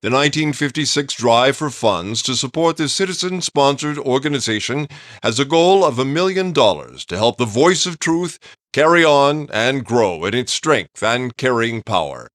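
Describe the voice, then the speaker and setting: A man delivers a 1950s-style radio appeal in a mid-pitched, animated voice. He sounds earnest and formal, recorded close and dry. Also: normal speed, dry recording. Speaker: radio announcer reading an appeal. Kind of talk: 1950s radio public-service announcement.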